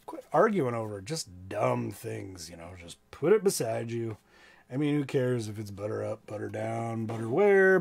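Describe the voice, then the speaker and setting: A man with an American accent reading to children in an expressive, playful way, with casual asides. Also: fairly high pitch, creaky. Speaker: storytime reader.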